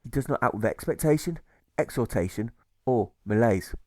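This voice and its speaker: A man reading a rhyming audiobook as scripted narration, with theatrical, measured emphasis. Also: mid-range voice, expressive, no room sound. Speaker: author reading his own audiobook.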